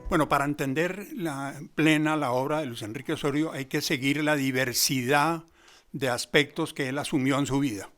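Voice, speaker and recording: A man's voice: a little high, normal speed, fairly bright tone, soft background music. A man commenting in an informal lecture style, listing points and posing rhetorical questions. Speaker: commentator on theatre history.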